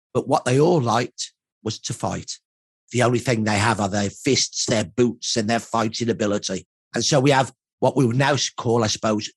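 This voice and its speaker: A man's voice: mid-range voice, breathy edge, no echo. An older man with a Birmingham accent, explaining history emphatically as an expert in a documentary interview. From Birmingham, England. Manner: emphatic, storytelling, repeats points for weight. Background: background music.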